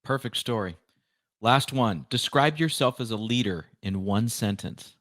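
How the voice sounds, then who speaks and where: A man hosting a podcast, presenting in clear phrases with an affirming manner. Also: medium pitch, unhurried, a bit bright, dry recording, clean studio sound. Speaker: podcast host. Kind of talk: podcast interviewing and scripted outro.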